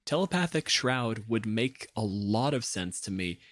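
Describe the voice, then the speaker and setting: A man's voice: on the low side, lively intonation, measured pace, fairly bright tone. A man chatting casually as a podcast panelist, analytical and opinionated in the way he talks. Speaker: podcast panelist discussing tabletop game monsters. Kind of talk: casual chat.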